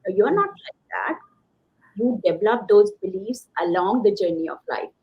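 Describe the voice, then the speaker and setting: A woman's voice: medium pitch, lively intonation, normal speed. Woman coach on slightly dull audio. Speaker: career coach, interview guest. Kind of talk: conversational motivational advice.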